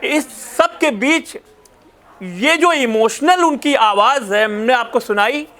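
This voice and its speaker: A man speaking as a news reporter, recounting events to viewers in an insistent, repetitive way with halting self-corrections. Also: very high voice, expressive, sharp tone.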